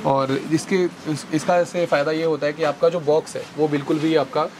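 A young man showing products in a warehouse, explaining things slowly with many fillers on a lapel mic. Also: fairly high pitch, little pitch movement, noisy background. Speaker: warehouse business owner. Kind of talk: product walkthrough. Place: large indoor warehouse with shelves.

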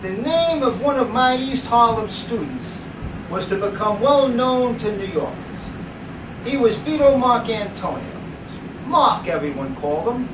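A middle-aged man giving a dramatic, deliberate reading to a live audience, in a theatrical, declamatory way. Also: high-pitched. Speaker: presenter reading at a book event. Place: indoor library event room. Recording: roomy, noisy, distant camera microphone.

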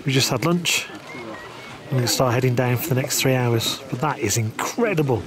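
A man's voice: mid-range voice, normal speed, crowd noise. Upbeat man vlogging on a hike. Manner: chatty, upbeat, thinking aloud. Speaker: travel vlogger. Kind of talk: casual hiking vlog narration. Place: outdoors on a mountain trail. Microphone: action camera at arm's length.

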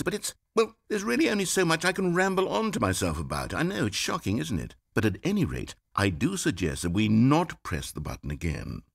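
An anxious, pleading game narrator. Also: male voice, mid-range voice, vocal fry, husky, full character voice. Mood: musing. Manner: pleading, rambling, swinging from fretful to hushed. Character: fussy male narrator, anxious, increasingly desperate. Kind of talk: scripted video game narration. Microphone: studio mic.

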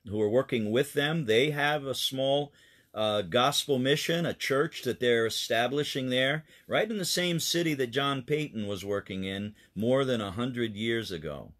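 A man's voice: medium pitch, unhurried, a bit bright. A man, a pastor, telling a children's missionary story in an earnest, explanatory way.

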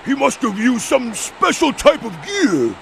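A man's voice: extremely high pitch, sing-song, measured pace, gravelly, slight background noise, exaggerated voice. A man playing a big, dim-witted, obedient henchman in a video game, whiny and stammering. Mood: defensive, flustered. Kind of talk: video game cutscene acting.

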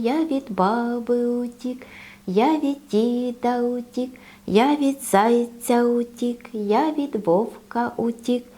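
A cheeky little male bread roll from a children's storybook, acted out by a woman. The voice is high and soft-edged, childlike and boastful. It chants in a slow, drawn-out sing-song.

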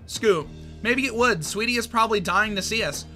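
Male reader as a bold tomboy filly, brash, high-pitched. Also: normal speed, bright, forceful tone, soft background music. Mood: eager. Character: tomboyish young filly pony, bold and reckless.

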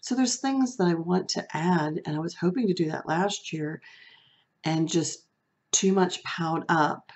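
A middle-aged woman with a soft, comforting voice, vlogging to camera from a room at home, reflective and hesitant, with fillers and restarts. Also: lowish voice, a little slow. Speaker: beauty vlogger. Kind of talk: casual talk to camera. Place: small room at home, indoors.

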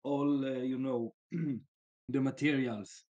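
A man joining a live stream by call, speaking haltingly and searching for words. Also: mid-range voice, fairly even intonation, dark tone, lo-fi sound. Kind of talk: casual live stream chat.

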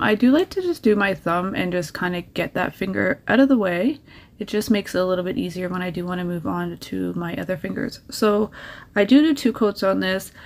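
Chatty woman giving nail tips. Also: medium pitch. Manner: chatty, encouraging, gives tips. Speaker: nail art creator. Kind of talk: casual tutorial voice-over.

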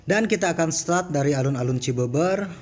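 A man's voice: a little high, fairly quick. A man, chatting casually as a motovlogger while riding a scooter outdoors, recorded on a helmet camera. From Cianjur, West Java, Indonesia. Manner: relaxed, chatty, excited on steep climbs. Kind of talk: casual motorcycle vlog narration. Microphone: helmet-mounted action camera. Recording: loud music bed under the voice.

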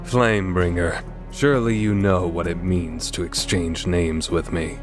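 A man's voice: sits low, steady tone, measured pace, music in the background. A man voicing a proud, disdainful swordsman in a video game fan dub, speaking formally with haughty menace. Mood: contemptuous.

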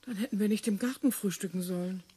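A woman's voice: medium pitch, fast talker, mellow, a little hiss or hum, natural voice. An actress in a radio play voicing a placid, patient older lady. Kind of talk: radio drama acting.

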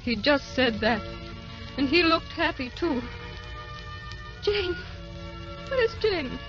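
High, slow, weary tender grandmother. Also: big pitch swings, exaggerated voice. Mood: weary, wistful. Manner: slow, wistful, repeating phrases. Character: ageless, weary, tender grandmother dying in serene happiness. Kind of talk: 1930s-40s radio drama acting. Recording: dull old radio recording with music.

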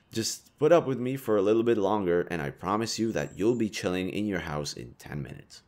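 A man acts out a kind young male stranger in an intimate roleplay audio drama. His voice sits fairly low and moves around in pitch a lot. He talks casually and a little awkwardly, teasing as he helps. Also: expressive, normal speed, darkish tone, natural voice. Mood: coaxing, teasing. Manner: casual, playfully teasing, mildly awkward, swearing.

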